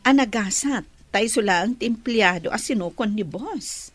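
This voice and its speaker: A woman's voice: medium pitch, animated, normal speed, close to an everyday voice. A woman playing a gossipy, teasing office employee in a radio drama, with an Ilocano accent.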